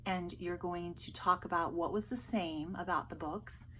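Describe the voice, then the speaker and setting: Low-pitched woman teacher over background noise. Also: normal speed, pushed and bright. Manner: explanatory, addressing pupils directly. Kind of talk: casual lesson and storybook read-aloud.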